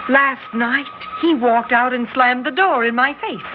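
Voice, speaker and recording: An actress playing a worried, insistent astronaut's wife in an old radio drama, pleading and urgent. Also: female voice, high voice, unhurried, music in the background. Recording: dull old radio recording with hiss.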